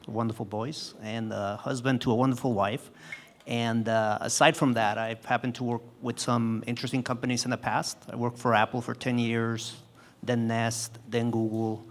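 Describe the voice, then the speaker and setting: Male conference speaker, mid-pitched and even, giving an introduction over faint noise. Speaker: panel speaker. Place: conference venue stage.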